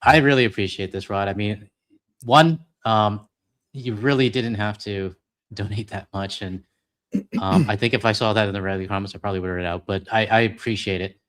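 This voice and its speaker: A middle-aged man with a Canadian accent and a somewhat low voice. He talks in a loose, rambling way, full of fillers and self-deprecating asides, as he co-hosts a live stream. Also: brisk, a bit bright. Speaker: co-host reading donations. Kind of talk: casual live-stream chat.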